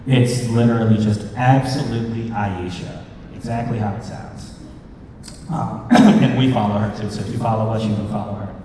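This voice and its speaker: A man's voice: fairly low pitch, warm tone. A man in his thirties co-hosting a casual convention panel, talking in an informal, joking way. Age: 30s. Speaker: panel co-host, movie reviewer. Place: convention panel room with audience, indoors. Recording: echoey hall from far off, noisy.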